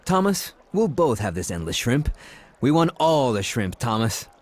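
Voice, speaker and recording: An actor playing an effusive, amorous copywriter with a florid, theatrical manner in an audio drama. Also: male voice, mid-range voice, very animated, moderate pace. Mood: awed, exuberant. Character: gushing, amorous Brazilian male ad copywriter.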